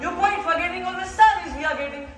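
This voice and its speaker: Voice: very high voice, fast, fairly bright tone. A student actor playing a scolding, self-interested town alderman in a stage play in verse. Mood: sharp, annoyed. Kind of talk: rhymed verse stage acting. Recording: echoey school hall from far, faint music.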